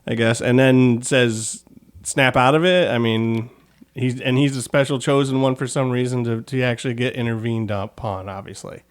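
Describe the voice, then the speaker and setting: A man's voice: mid-range voice, steady tone. A man hosting a podcast in casual interview chat, asking curious questions and often breaking off to restart. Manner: inquisitive, halting, self-interrupting. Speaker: podcast host.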